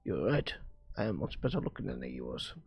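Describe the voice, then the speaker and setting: A man streaming a visual novel, reading its dialogue aloud haltingly and often stumbling and rereading lines. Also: fairly low pitch, very animated, vocal fry, slightly rough, in a whisper. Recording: music behind the voice. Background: music.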